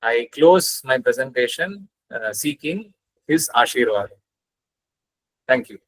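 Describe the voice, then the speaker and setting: A man's voice: mid-range voice, expressive, takes it slowly, no room sound, rough recording. A young man with a South Indian accent giving an online lecture over a video call, explaining ideas earnestly and reciting Sanskrit verses. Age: young adult. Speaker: guest lecturer. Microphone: webcam on video call.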